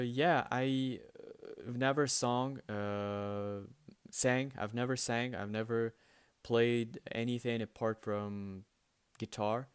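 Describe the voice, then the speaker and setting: A man's voice: medium pitch, smooth, no room sound. A young man, a native Russian speaker with a light Russian accent, answering viewer questions in a casual, hesitant way with many fillers and restarts. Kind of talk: casual spoken Q&A.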